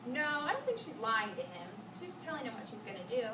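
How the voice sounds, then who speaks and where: A woman's voice: a little high, very animated, fast. A young woman, a student teacher, reading aloud and talking simply with young children. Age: young adult. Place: indoor school classroom with background noise. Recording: roomy, distant, noisy classroom recording.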